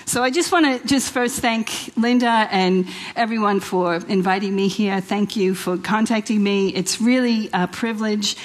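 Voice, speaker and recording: A woman's voice: mid-range voice, moderate pace, hardly any pauses. New York-accented woman preaching informally. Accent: New York with Italian and Australian traces. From New York, United States. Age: middle-aged. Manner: chatty, exhortative, with repeated phrases and rhetorical questions. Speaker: guest speaker at a church women's event. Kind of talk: informal inspirational sermon to a live audience.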